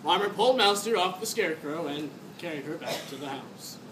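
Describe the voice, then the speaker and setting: A woman's voice: low voice, lively intonation, brisk. A young woman narrating a children's story on stage with theatrical emphasis. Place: classroom, recorded by a far camera. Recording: echoey classroom from far off, noisy.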